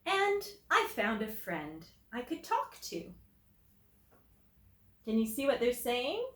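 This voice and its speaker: A woman teacher reading a picture book aloud to children, explaining simply and deliberately and putting questions to her listeners. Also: a little high, huge pitch swings, fairly bright tone. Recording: roomy echo, distant microphone.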